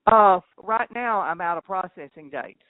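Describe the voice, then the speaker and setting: A man with a fairly high voice talks over a thin, narrow phone line with very little room sound. He is a cattle farmer, chatting in a folksy, rambling, plain-spoken way. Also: lively intonation, moderate pace, no room sound. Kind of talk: casual phone chat. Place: on a phone call.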